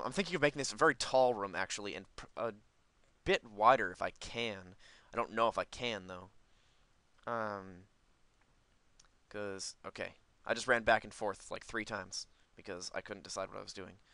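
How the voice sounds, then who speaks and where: A man's voice: on the low side, sharp tone, no room sound. A man giving casual let's play commentary, rambling and thinking aloud as host of a gaming video.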